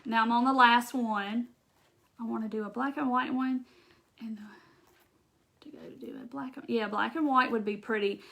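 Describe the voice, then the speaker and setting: A woman's voice: on the high side, steady tone, moderate pace, vocal fry, long pauses, a bit bright. A woman with a Southern accent hosting a live craft demonstration, chatting straight to her viewers and answering their comments as she works. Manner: rambling with restarts and laughs. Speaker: craft video host.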